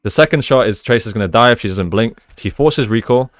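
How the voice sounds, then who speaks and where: A man's voice: mid-range voice, a little fast, no echo, clean studio sound. A man giving casual, explanatory gameplay commentary as an esports coach, using gamer slang. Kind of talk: live gameplay commentary and breakdown.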